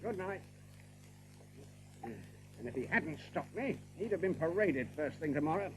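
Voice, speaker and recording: High-pitched, dutiful rabbit guard captain. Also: male voice, animated, a little slow, noisy background. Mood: confiding. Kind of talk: dramatised audiobook acting.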